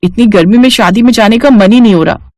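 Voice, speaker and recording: A woman's voice: on the high side, fast, warm tone, no room sound, studio quality, natural voice. Fretful, complaining young wife played by a woman. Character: reluctant, fretful city daughter-in-law, young mother. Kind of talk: cartoon family story acting.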